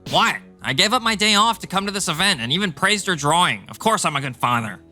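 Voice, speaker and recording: Man acting arrogant, sharp-voiced husband. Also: sits high, sing-song, fast, soft background music, exaggerated voice. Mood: indignant. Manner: dismissive, self-important. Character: arrogant, callous, irritable husband, adult man. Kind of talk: dramatized manga dub dialogue.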